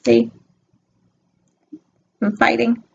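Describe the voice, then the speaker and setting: Woman reading a kids picture book out loud, slow and expressive, talks to the little ones, slightly muffled lo-fi recording. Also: on the high side, vocal fry, slightly rough. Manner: expressive, simple, instructive asides to listeners. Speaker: storybook reader. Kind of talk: reading a picture book aloud to young children, with asides about the pictures.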